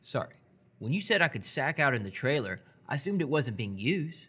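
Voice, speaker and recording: Man acting husky, nervous teenage boy, animated. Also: mid-range voice, a little fast, full character voice. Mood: nervous, apologetic. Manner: hesitant, apologetic, sardonic outbursts. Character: nervous, incredulous 17-year-old boy in 1970. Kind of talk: live radio theatre dialogue.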